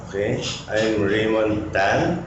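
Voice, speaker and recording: A man's voice: lowish voice, animated, slow pace. Brief-spoken man in his forties. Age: 40s. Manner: brief, plain answers. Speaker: businessman, focus group participant. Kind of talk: casual group discussion. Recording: echoey room, lo-fi, light noise.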